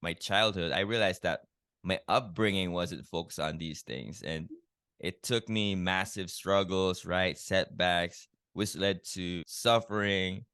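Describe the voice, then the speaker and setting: A man with a low, resonant voice tells his own story as a podcast guest. His tone is earnest and reflective, and he often uses filler phrases as he works through it. The sound is close and dry, with no room echo. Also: a little slow, fairly bright tone, clear, resonant tone. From Philippines. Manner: earnest, reflective, many "you know" fillers. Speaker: guest, keto health coach. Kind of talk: personal story in a podcast interview.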